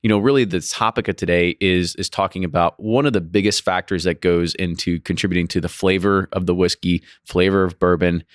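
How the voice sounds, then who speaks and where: A man's voice: sits low, fairly even intonation, fairly quick, hardly any pauses, dry recording, clean studio sound. A man hosting a podcast interview, talking casually and rambling, often restarting his phrases. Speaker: podcast host.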